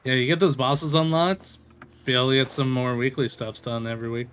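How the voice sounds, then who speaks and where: A man's voice: medium pitch, steady tone, brisk, a bit bright, clean tone. A man giving casual, chatty gameplay commentary as a streamer, reacting aloud to the game. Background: game audio and music.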